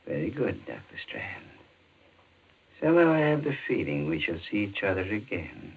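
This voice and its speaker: An actor playing a suave, menacing male chess schemer in a 1940s radio mystery, speaking in a measured, insinuating way. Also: lowish voice, big pitch swings, husky, phone-quality sound, heavily acted. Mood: suavely mysterious. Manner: measured, insinuating, politely sinister.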